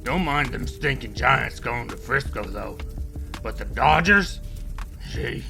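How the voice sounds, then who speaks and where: A creaky old homeless man voiced by a male actor in a comic book dub, medium in pitch. He sounds folksy, wistful and plaintive over prominent music. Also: animated, slow, sharp tone, heavily acted. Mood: wistful, sore.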